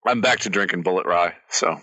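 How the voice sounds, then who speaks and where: A man's voice: deep voice, a little fast, bright, forceful tone, husky, no echo, rough recording. A man co-hosting a podcast, blunt and dry, swearing casually in banter. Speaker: podcast co-host.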